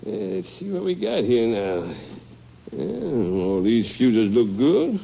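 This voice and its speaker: An actor playing a stubborn, folksy older storekeeper in a small town, in 1950s radio drama style. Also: male voice, mid-range voice, huge pitch swings, slow pace, gravelly, slightly rough, exaggerated voice. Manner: folksy, plainspoken. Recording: dull old radio recording, faint hiss.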